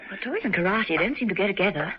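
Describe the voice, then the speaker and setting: An actress playing a poised, witty female agent, crisp and lightly teasing. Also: medium pitch, lively intonation, quick pace. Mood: cool. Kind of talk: 1960s radio drama acting. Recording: noisy lo-fi old radio.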